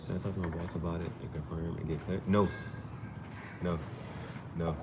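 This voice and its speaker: A man's voice: low voice, flat delivery, moderate pace. A man giving an informal spiritual reading, urging advice in an insistent, repetitive, emphatic way. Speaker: amateur tarot reader and streamer. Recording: clearly noisy background ambience.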